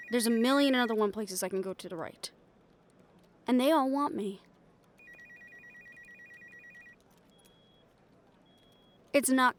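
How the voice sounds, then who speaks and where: A woman's voice: fairly high pitch, slight background noise, close to an everyday voice. A woman playing a brash, stubborn, ambitious young reporter in an improvised audio roleplay, with a sarcastic, cocky, conversational delivery. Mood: cocky, defiant.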